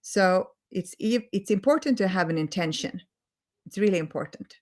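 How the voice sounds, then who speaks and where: A woman with a Swedish accent, a native Swedish speaker, giving a gentle, earnest live-stream talk as a holistic healing coach, repeating words for emphasis. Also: medium pitch, animated, moderate pace. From Sweden.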